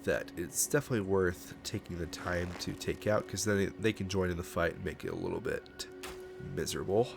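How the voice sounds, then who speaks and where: A man casually narrating a game walkthrough, relaxed and chatty with lots of ums, sounding stuffed up from a cold. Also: low voice, a little fast, gravelly, noisy background. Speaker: gaming channel host. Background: game music.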